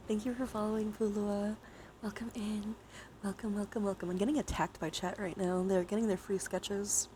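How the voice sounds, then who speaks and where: A woman's voice: medium pitch, level tone, moderate pace, dark tone, a little hiss or hum. A woman hosting a livestream, chatting casually with viewers in a playful, giggly way and swearing freely. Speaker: livestream host. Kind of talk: casual livestream chat with viewers during a dice game.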